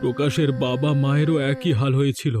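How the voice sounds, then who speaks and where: A man's voice: medium pitch, fairly even intonation, fairly quick, close to an everyday voice. A man playing a sober, reassuring older village uncle in a cartoon folk tale, consoling and earnest. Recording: music behind the voice.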